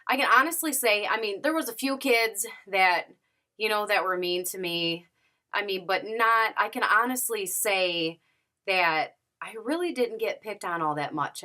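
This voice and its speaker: A woman's voice: on the low side, sharp tone. A young adult woman vlogger telling a candid personal story to camera, chatty and full of fillers. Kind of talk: casual story-time monologue. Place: at home, sitting.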